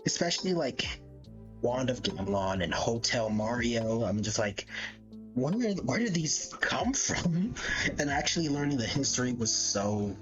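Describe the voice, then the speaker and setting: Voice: medium pitch, big pitch swings, a little slow. A streamer giving casual livestream commentary, rambling and thinking aloud in an amused, casually sweary way. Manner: amused, laughs often. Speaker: live streamer. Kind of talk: casual livestream commentary, ranking game characters. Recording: dull lo-fi mic with background music. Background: background music.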